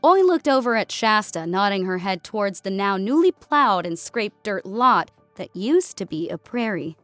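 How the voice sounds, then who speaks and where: A woman's voice: medium pitch, sing-song, normal speed, fairly bright tone, dry recording. A woman reading scripted narration as an audiobook narrator. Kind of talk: scripted narration of a sci-fi war story. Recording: music under the voice. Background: background music.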